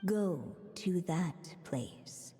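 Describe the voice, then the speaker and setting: Slow, echoing, mysterious storyteller. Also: female voice, on the low side, lively intonation, warm tone, soft background music. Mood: mystical, solemn. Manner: slow, lyrical, enigmatic. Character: mysterious female storybook narrator reciting a riddle. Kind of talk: storybook narration in a video game. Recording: long hall reverb, faint ambient noise.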